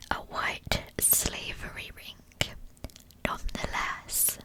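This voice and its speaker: A woman whispers scripted narration in an ASMR style. Her voice is fully unvoiced and breathy, and she takes her time over each phrase, keeping the storytelling hushed and deliberate throughout. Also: poor audio. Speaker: ASMR true-crime narrator.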